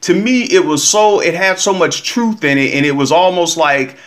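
A man's voice: sits high, normal speed, fairly bright tone. A man hosting a commentary vlog, talking straight to his listeners in an emphatic, preachy, casual way.

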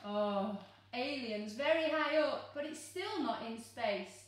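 A woman reads a children's storybook aloud in a very echoey room, some distance from the microphone. She is expressive and full of exclamations, coaxing young listeners along. Her voice sits fairly high. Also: takes it slowly, a little hiss or hum. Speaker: teacher reading a picture book to children. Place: living room, seated on a sofa. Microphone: camera a few metres away.

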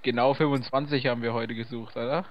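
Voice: medium pitch, fairly even intonation, fast, highs cut off. A young co-commentator bantering casually over a game, goofy and teasing, often sing-song. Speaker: guest co-commentator. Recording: game music behind the voice. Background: video game music.

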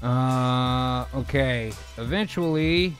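A man in his thirties, excitable and sweary, hosting casually and reading scripts aloud as a dramatic narrator. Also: mid-range voice, extremely slow. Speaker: host of an improv movie-writing show. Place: home room, video call. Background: music.

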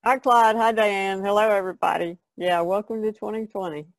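A woman's voice: mid-range voice, steady tone, a little fast, no room sound. An American woman introducing herself casually as a co-host on a podcast.

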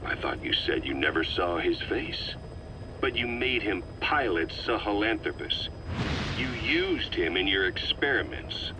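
Sardonic interrogator, deep, widely inflected, hushed and breathy, accusatory, video game drama. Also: male voice, very animated, noisy background. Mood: mocking, pressing. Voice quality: hushed, often dropping to a breathy near-whisper. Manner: deliberate, accusatory, mocking. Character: cold, sardonic, menacing male military interrogator.

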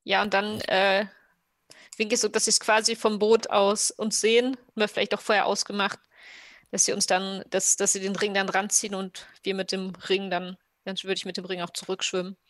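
A woman's voice: medium pitch, flat delivery, normal speed, no echo. A woman talking casually and improvising as a player in an online tabletop roleplaying game. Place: indoor room at home, video call.

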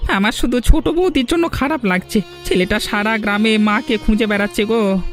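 A man's voice: high voice, brisk, a bit bright, soft background music. A man playing a humble, loyal, anxious village servant in a cartoon, deferential, with a Bengali accent. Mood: concerned.

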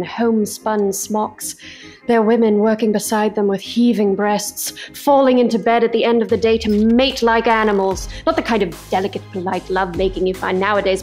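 A fervent woman revolutionary played by an actress orates in a highish voice. She is sensual and impassioned, in radio comedy style with faint music behind. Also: darkish tone, natural voice. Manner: impassioned speechmaking. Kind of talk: comedy radio play acting.

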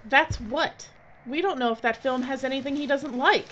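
A woman's voice: high voice, normal speed, a bit bright. An actress playing a decisive, level-headed woman who takes charge in an audio drama, with a dry, bossy manner. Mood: dismissive, questioning. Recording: dull lo-fi audio with clear noise.

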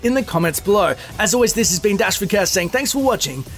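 A man's voice: high-pitched, expressive, fast talker. A man reading scripted gaming news as a video host, in an upbeat promotional manner. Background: music.

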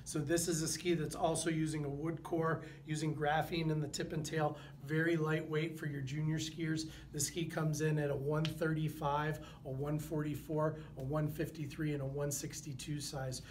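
A man in his thirties, a product manager, presenting gear to the camera in an indoor showroom, steady and informative with a few fillers. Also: fairly high pitch, moderate pace, hardly any pauses, a little hiss or hum. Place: indoor showroom with wooden walls.

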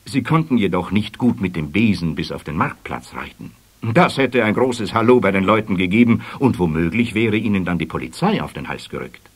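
A man narrating a children's story in an expressive, scripted storytelling manner. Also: sits low, brisk, husky.

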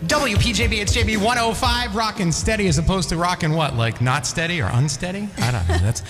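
A woman's voice: on the low side, expressive, brisk. A middle-aged woman hosting a music radio show with upbeat, joking DJ patter and casual banter, close on a broadcast mic. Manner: playful, joking. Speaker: radio DJ and host. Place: small home radio studio. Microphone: close broadcast mic on boom arm, headset. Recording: music playing under the voice. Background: loud music.

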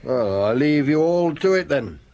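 A man's voice: a little high, animated, darkish tone. A fussy British local policeman. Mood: brisk, obliging. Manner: brisk, fussily polite. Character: fussy, disdainful but obliging male local police officer. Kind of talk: radio drama acting.

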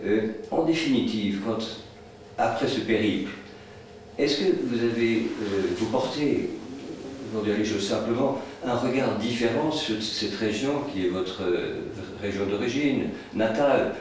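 Low-voiced man in a very echoey room. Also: lively intonation, measured pace, a little mellow. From France, Paris area. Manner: hesitant, wordy, polite. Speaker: audience questioner, social scientist. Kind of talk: long, roundabout question at a book evening. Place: indoor meeting room at a public book event. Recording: very echoey room, distant mic, faint noise.

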